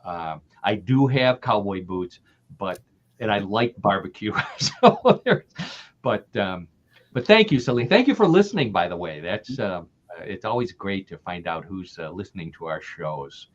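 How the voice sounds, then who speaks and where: A man's voice: deep voice, sing-song, a little slow. An older man from the American Midwest, chatting as a podcast guest, laughing often and repeating himself as he talks. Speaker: guest author. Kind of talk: casual podcast interview. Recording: dull lo-fi audio.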